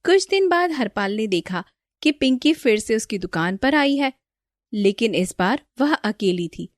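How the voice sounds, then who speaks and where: A woman's voice: a little high, lively intonation, a little slow, dry recording, studio quality. A woman storyteller reading a scripted children's moral story as narrator.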